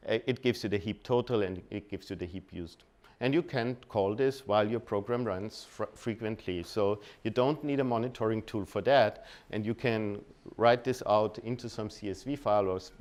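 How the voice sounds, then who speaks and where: A man with a fairly low voice and an Austrian accent gives a technical presentation. He is a native German speaker. His delivery is informal and halting, and he often rephrases mid-sentence. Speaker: conference speaker.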